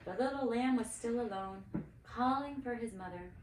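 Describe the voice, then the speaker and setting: A woman reading a children's story aloud expressively, with dramatic, deliberate phrasing. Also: on the high side. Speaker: storybook reader, cantor. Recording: roomy, distant mic with faint noise.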